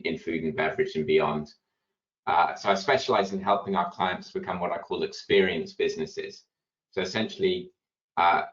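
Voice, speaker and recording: A man's voice: low-pitched, a bit bright. A man consultant introducing himself on a webinar in a polished, fluent way. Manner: polished, fluent, occasional uhs. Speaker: consultant panelist. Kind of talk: professional self-introduction. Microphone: video call. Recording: roomy, muffled video call audio.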